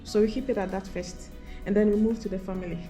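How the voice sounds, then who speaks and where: A woman's voice: medium pitch, fairly even intonation, fast. A woman hosting a casual group interview, putting questions to her guests and chatting with them. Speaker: host. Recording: music behind the voice. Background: music.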